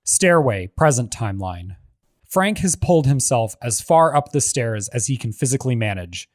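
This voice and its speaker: A man reads out screenplay action lines in a measured, even delivery at a middle pitch. The recording is dry and close, with almost no room sound. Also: no echo. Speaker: narrator reading stage directions. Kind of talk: scripted narration of a screenplay.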